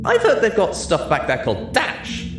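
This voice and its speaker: Guy doing a cocky tipsy girl pegasus, way high voice, braggy and giggly, swoops around, storybook reading. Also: huge pitch swings, normal speed, echoey room, background noise. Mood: conspiratorial. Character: brash, boastful, tipsy female pegasus pony.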